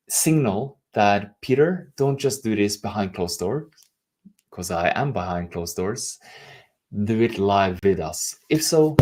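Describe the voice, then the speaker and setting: Male, Norwegian accent, lowish voice, coach hosting a live stream, casual, effusive, emphatic. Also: a little mellow. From Norway. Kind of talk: casual livestream talk to camera.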